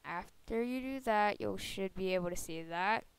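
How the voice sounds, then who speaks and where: A young woman with a soft voice giving a casual computer tutorial, chatty and full of fillers. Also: mid-range voice, normal speed, clear, resonant tone, dry recording. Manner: soft-spoken. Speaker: tutorial maker. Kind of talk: screen-recorded computer tutorial. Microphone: computer microphone.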